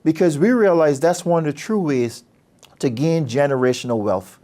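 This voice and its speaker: Earnest man, fairly high voice. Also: warm tone. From Barbados. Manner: measured, earnest, persuasive. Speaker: business school dean. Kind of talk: promotional interview talk.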